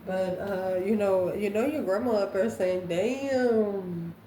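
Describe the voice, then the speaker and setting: A woman giving an intuitive tarot reading to viewers, musing aloud in short, halting phrases. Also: mid-range voice, dark tone. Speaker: tarot reader, host. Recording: roomy echo, distant mic, background noise.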